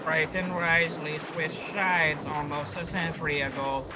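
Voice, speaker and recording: A man's voice: on the high side, level tone, unhurried. A man reading comedic character profiles in a fan dramatic reading. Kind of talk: comedic character-bio narration. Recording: very noisy amateur recording with clicks.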